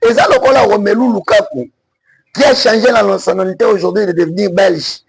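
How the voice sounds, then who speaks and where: Congolese guy, congolese french accent, heated political talk, highish voice, kinda husky. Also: very animated, fairly quick. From Congo (DRC). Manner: emphatic, switches between French and Lingala. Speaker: political commentator. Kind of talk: political commentary.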